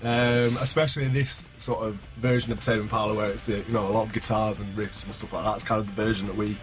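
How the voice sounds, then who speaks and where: A man's voice: lowish voice, flat delivery, quick pace, soft background music, poor audio. A man, a band member talking casually and slangily in a radio studio interview. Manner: informal, slangy. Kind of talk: casual live interview chat. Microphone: studio broadcast microphone.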